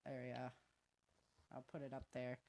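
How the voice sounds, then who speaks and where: A woman's voice: a bass voice, completely flat delivery, moderate pace, a little mellow, dry recording. A woman chatting casually as host of a live show, informal and slangy, with exclamations.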